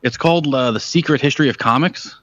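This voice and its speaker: A man chats as a podcast guest over an internet call. He talks quickly and casually, with a shrug in his tone. The sound is dry and close. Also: mid-range voice, a bit bright, dry recording, muffled recording. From Staten Island, New York. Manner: laid-back, offhand, swearing. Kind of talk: casual chat. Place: at work, over Skype.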